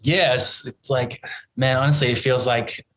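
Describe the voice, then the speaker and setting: A man in his thirties with an Australian accent, a podcast guest telling his story in a relaxed, rambling way, full of ums and you-knows. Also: mid-range voice, unhurried, a little hiss or hum. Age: 30s. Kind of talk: casual interview chat.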